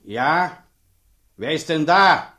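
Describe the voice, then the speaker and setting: An actor playing a gruff, suspicious, talkative village priest in a German radio drama, with a husky voice and blustering manner. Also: male voice, a little high, expressive, extremely slow, background noise. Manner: blustering, garrulous, exclamatory. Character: gruff, suspicious village priest, blunt, loud, then apologetic.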